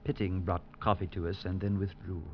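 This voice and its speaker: A man playing a calm grave priest narrator, measured, low-key, deep voice, dull 1940s broadcast. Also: slight background noise, close to an everyday voice. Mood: somber. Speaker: Father Murchison, narrator. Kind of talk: 1940s radio acting.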